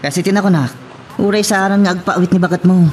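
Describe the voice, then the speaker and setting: A man's voice: high-pitched, fairly even intonation, fast talker, soft background music, natural voice. A man playing a chummy, teasing drinking buddy in a radio drama, with an Ilocano accent. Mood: teasing, curious.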